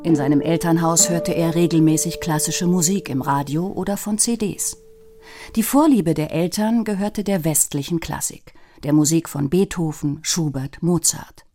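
A woman reading a scripted documentary narration in a measured, even way. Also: deep voice, soft background music.

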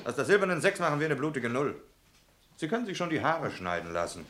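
An actor playing a brutal, mocking Gestapo officer in a radio play, sneering and barking orders. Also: male voice, fairly high pitch, very animated, fast, a bit bright, slight background noise.

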